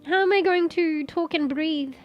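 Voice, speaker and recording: Woman streamer, stuffy nose, high voice, faint music behind. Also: moderate pace, dull audio. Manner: rambling, laughs and swears, reads search results aloud. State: congested, losing her voice. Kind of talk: casual live-stream chat.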